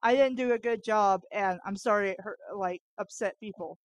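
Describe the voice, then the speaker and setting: A woman's voice: mid-range voice, moderate pace. A woman co-hosting a fan fiction read-aloud, breaking off into baffled, informal asides. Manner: incredulous, informal, interjecting asides.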